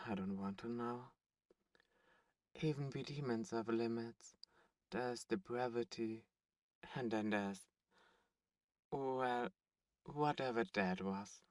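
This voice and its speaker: A man acts out a suave male demon buyer for an ASMR roleplay. He speaks slowly at a middling pitch, smug and teasing, with deliberate dramatic pauses. The sound is dry, with the voice set a little back from the mic. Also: no room sound, far from the mic, close to an everyday voice.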